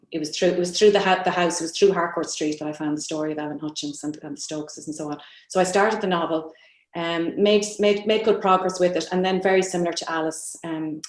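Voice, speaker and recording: A woman with a deep, level voice speaks on a panel in a reverberant room. She is earnest, restating phrases to press her point. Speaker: novelist, panel guest. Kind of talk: unscripted panel talk. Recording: echoey room sound.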